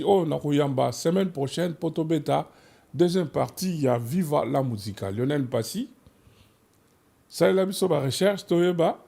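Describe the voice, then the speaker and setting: Male host, Congolese accent of Kinshasa; relaxed, dark timbre with audible fry, conversational storyteller. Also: on the high side. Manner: switches between French and Lingala. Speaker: host of a music history programme.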